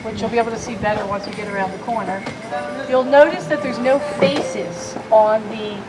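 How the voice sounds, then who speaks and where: Woman, high voice, loud, chatty tour guide, outdoors on a horse carriage, music underneath. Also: poor audio. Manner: chatty, joking with riders. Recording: background music over the voice.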